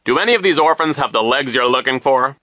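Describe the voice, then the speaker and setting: A man's voice: medium pitch, expressive, quick pace, pushed and bright, no echo, pitched above the performer's own voice. A man voicing a conceited, petty school vice principal in an audiobook story, speaking in a pompous, self-important way. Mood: impatient, officious.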